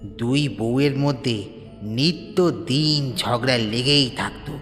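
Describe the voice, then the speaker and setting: Man voicing a tea stall keeper, calm storyteller, reverberant sound. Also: medium pitch, slow pace, soft background music. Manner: calm, matter-of-fact storytelling. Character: middle-aged tea stall man telling a local story.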